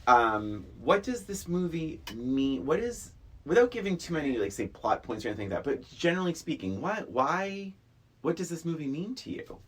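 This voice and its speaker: A man's voice: fairly high pitch, big pitch swings, moderate pace, slightly rough. A middle-aged man from Massachusetts co-hosting a podcast in casual, teasing banter. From Massachusetts, USA. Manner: teasing, playful. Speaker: podcast co-host.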